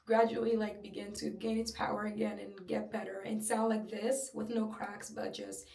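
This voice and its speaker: A young woman with a slightly breathy voice, chatting openly and personally in a casual home vlog. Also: mid-range voice, level tone, normal speed, warm tone, far from the mic. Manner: chatty, filler-heavy, open and personal. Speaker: vlogger. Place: at home, in her room. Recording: roomy echo, a little off-mic.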